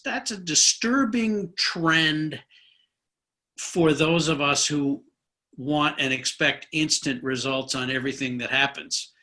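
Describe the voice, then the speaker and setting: A man's voice: mid-range voice, sharp tone. A man hosting a conversational political interview, musing informally and in a rambling way. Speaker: interviewer, host.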